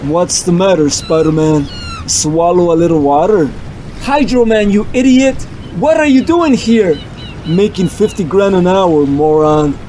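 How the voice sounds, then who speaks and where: A man's voice: high-pitched, unhurried, mellow, a little hiss or hum, pitched below the performer's own voice. A man voicing a mocking male water-creature mercenary who trades insults.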